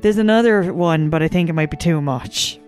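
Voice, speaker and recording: A woman's voice: on the low side, moderate pace, a little mellow. Joking woman podcaster with music behind her. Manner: sweary, joking. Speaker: podcast co-host. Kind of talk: casual banter and mock-dramatic script reading.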